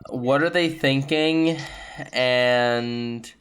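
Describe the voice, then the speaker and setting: A man's voice: medium pitch, drawn-out words, fairly bright tone. A man playing a tabletop game, chatting casually and thinking aloud as he improvises. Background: music.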